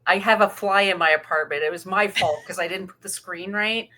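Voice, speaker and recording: Bright, forward-voiced woman podcast co-host. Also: on the low side, a little fast. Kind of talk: casual film discussion chat.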